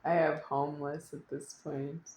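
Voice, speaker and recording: A woman speaks in a somewhat low register, with the room echoing around her. She delivers an unscripted, wandering monologue to an audience. Her phrasing halts and stretches, then circles back in insistent repetition. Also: level tone, a little slow, darkish tone, a little hiss or hum. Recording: echoey room.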